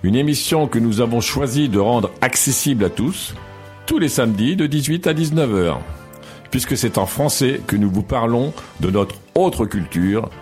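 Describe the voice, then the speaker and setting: A man's voice: mid-range voice, lively intonation, moderate pace, darkish tone, faint music behind. A man presenting a weekly community radio show, greeting listeners and chatting casually with his technician.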